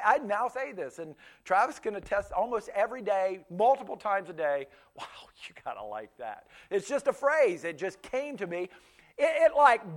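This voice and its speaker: A man's voice: extremely high pitch, fairly bright tone. A man in his fifties whose first language was Pennsylvania Dutch, preaching a sermon in a conversational, storytelling way with frequent restarts and repeated words. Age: 50s. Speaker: pastor.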